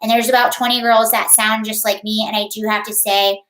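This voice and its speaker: A woman with a high-pitched voice hosting a casual livestream from home, chatting in a rambling way and greeting viewers by name. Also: flat delivery, fairly quick, fairly bright tone, echoey room, low-quality recording. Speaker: livestream host.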